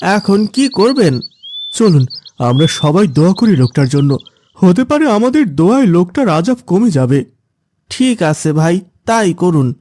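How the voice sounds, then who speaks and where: An earnest village man, voiced by a male actor in a cartoon moral tale. His soft, mellow voice moves widely in pitch as he pleads with concern, recorded dry. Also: big pitch swings, a little slow, no echo, full character voice. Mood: alarmed, earnest. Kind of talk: cartoon dialogue.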